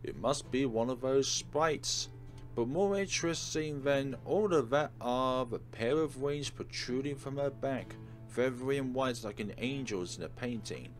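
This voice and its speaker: A man's voice: medium pitch, unhurried. A man hosting a let's play, reading a visual novel aloud dramatically with casual commentary. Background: game music.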